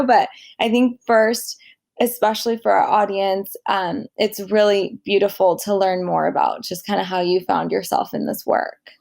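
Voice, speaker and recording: A woman's voice: mid-range voice, vocal fry, darkish tone. Gushing woman podcast host. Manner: gushing, enthusiastic, rambling with fillers. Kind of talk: casual interview chat.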